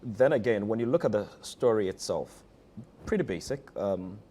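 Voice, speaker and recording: Low, mellow Ghanaian man in interview. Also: expressive, normal speed, husky. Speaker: theatre director being interviewed. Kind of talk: conversational interview talk.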